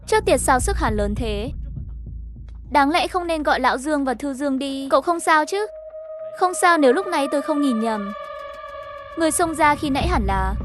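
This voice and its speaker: A woman's voice: high voice, a bit bright. A woman reading a scripted horror story, narration and character dialogue alike. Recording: music playing behind the voice. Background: background music.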